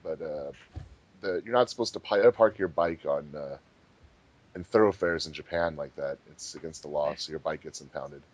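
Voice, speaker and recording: A man's voice: a bass voice, normal speed. A man chatting casually and explaining things as host of a commentary track.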